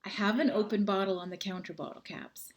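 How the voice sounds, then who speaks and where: A woman's voice: mid-range voice, steady tone, brisk. Chatty, friendly woman in her thirties, livestream host reading out viewer comments, mid-range voice, computer mic at home. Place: home, indoors.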